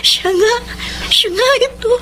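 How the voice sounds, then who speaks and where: An actress with a Filipino accent playing a traumatized young daughter in a radio drama, with weighted, emotional delivery. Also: female voice, very high-pitched, takes it slowly, bright, forceful tone, a little hiss or hum, heavily acted, low-quality recording. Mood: bitter, overwrought. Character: traumatized young daughter, bitter then healing.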